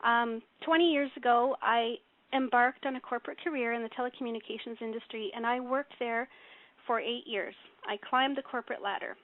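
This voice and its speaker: A woman's voice: on the high side, brisk, no echo. A woman in her forties with a young-sounding voice, giving an earnest, casual talk on a call, with many fillers and restarts. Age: 40s. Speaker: speaker on a teleconference call. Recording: tinny phone line.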